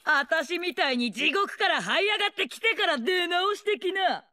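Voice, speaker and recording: An actress voicing a brash, bloodthirsty older female warlord in a video game, with rough slangy taunts, a snarling delivery and mocking, gleeful menace. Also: very high-pitched, animated, normal speed, sharp tone, heavily acted. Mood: scornful taunting. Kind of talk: video game character voice lines.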